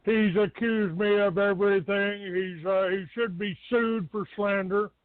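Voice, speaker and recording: A man's voice: steady tone, unhurried, no room sound. A man hosting a live internet radio call-in show, blunt, combative and dismissive with his callers. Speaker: talk show host taking calls. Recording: narrow phone-like low-fidelity internet radio sound.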